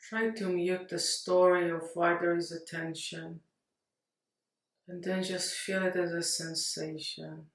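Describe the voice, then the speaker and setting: A woman leading a guided meditation in a gentle, unhurried manner, leaving long pauses. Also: lowish voice, fairly even intonation. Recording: roomy echo, distant mic.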